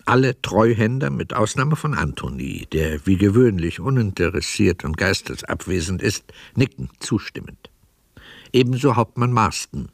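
A man narrates a radio drama from a script. His voice is low and creaky, with lively intonation, recorded close and dry. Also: moderate pace, no echo.

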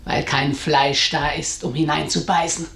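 A woman's voice: low voice, fairly even intonation, unhurried, creaky, a bit bright, full character voice. An actress playing a proud, domineering elderly mother and mistress of the house in a radio drama, speaking imperiously. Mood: scornful. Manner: imperious, commanding. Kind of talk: German radio drama acting. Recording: roomy, echoing radio studio sound.

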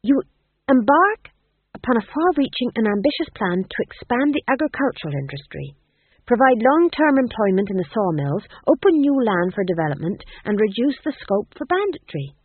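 A man's voice: high-pitched, very animated, moderate pace, breathy edge, narrow, tinny audio, voice pushed up, exaggerated voice. A man voicing a clever, anxious, servile court fool in a storybook reading, with an obsequious, earnest, persuasive manner.

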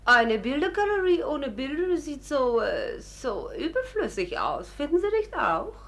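A woman's voice: high voice, big pitch swings, measured pace, a bit bright, heavily acted. A woman playing a chatty, naively tactless, wealthy American society wife in a 1950s German radio play, effusive and exclamatory.

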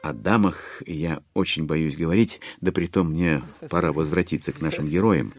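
An actor playing a wry, digressing storyteller, narrating a dramatized classic novel in an ironic, expressive, musing way. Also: male voice, rumbling low, normal speed. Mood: confiding, amused. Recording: music under the voice.

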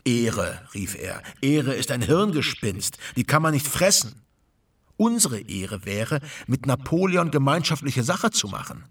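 A husky Prussian officer recounts his wartime youth. He is ardent and dramatic in this radio play, heard a little away from the microphone. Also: medium pitch, big pitch swings, a little slow, fairly bright tone, recorded from a distance, full character voice. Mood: agitated. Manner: dramatic, acting out quoted exchanges.

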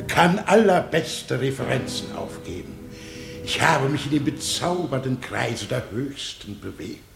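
A man's voice: mid-range voice, big pitch swings, a little slow, vocal fry, exaggerated voice. An actor playing a boastful, self-important accused man pleading his respectability in a 1960s German radio drama. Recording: echoing room, music underneath.

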